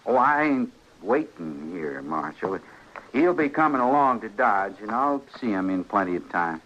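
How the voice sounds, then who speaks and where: A man's voice: on the low side, very animated, a bit bright, slight background noise. A man playing a simple, polite, obsessed drifter with a rural American accent in an old-time radio western. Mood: earnest, brooding. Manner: slow-witted, folksy, deferential. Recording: muffled old radio recording.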